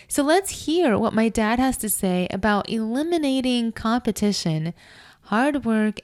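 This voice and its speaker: A woman's voice: medium pitch, a little mellow, smooth, no room sound, studio quality. A woman in her thirties hosting an interview, speaking in an earnest, heartfelt way. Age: 30s. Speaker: podcast host. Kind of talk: scripted intro then interview.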